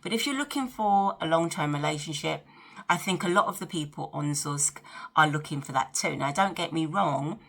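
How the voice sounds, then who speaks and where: South london lady in her 50s, deepish bright voice, chatty vlog talk straight to camera. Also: expressive, normal speed, vocal fry. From South London, England. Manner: chatty, conversational, addressing viewers directly. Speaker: vlog host. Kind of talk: casual chat to camera during a get-ready-with-me.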